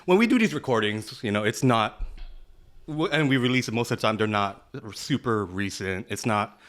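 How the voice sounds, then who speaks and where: A young man co-hosting a podcast, talking in a relaxed, slangy way while sounding earnest about his views. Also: lowish voice, normal speed. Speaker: podcast co-host. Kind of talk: casual chat.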